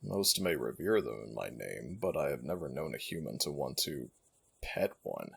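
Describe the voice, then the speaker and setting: A man voicing an ancient jackal-headed god in an ASMR roleplay, gravelly and husky, speaking in stately, affectionate, gently teasing phrases to one listener. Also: rumbling low, expressive, normal speed, full character voice. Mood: calm, instructing. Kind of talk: ASMR roleplay audio drama.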